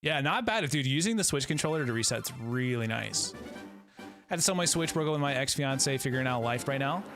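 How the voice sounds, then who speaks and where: A man's voice: mid-range voice, lively intonation, fairly quick, a bit bright, faint music behind. A man in his thirties playing a game on a live stream, chatting in a laid-back, friendly way and greeting viewers. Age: 30s. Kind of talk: casual live-stream chat while playing.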